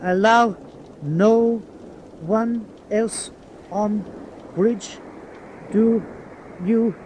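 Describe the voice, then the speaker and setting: An actor playing an anxious, dutiful young U-boat lieutenant in a radio drama, earnest and respectful. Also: male voice, high-pitched, extremely slow, a little mellow, a little hiss or hum. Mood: uneasy, dutiful. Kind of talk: vintage-style radio drama acting.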